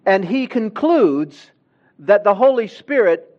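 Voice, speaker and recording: A man who grew up in Honduras, preaching a sermon in a church with slow, emphatic delivery that swells louder on key words. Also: high voice, sing-song, lo-fi sound.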